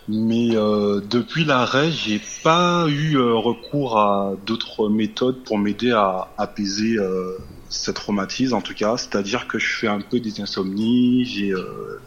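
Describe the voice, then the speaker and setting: A man's voice: on the low side, a bit bright. Hesitant man in his thirties on the phone. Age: 30s. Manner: hesitant, many fillers. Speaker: caller. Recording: dull phone-line sound with faint noise.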